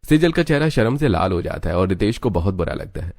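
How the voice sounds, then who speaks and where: A man's voice: lowish voice, sing-song, fast talker, vocal fry, a little mellow, no echo, clean studio sound. A man narrating a scripted story as the narrator of a cartoon. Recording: music under the voice.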